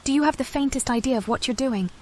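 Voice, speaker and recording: Woman as lightly high-voiced composed elven adventurer. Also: fast, a little hiss or hum, close to an everyday voice. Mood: wary. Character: composed, curious young female elven sorcerer adventurer. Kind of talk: video game character acting.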